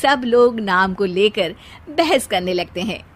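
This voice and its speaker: A woman's voice: mid-range voice, expressive, measured pace. A woman reading scripted narration as the storyteller of a cartoon tale.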